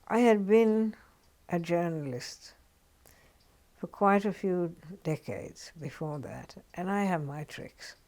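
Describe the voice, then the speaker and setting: Older woman, Finnish accent, low gravelly voice, slow reflective oral history telling. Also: darkish tone. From Finland. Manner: unhurried and reflective, with frequent ums and restarts. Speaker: interviewee.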